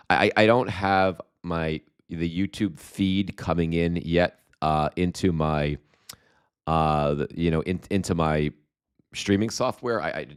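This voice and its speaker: A very low-pitched man hosting a casual live stream, his voice smooth and resonant though a slight cold is wearing it down. He rambles and restarts as he reads out viewer comments, and his talk is thick with fillers. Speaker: livestream host.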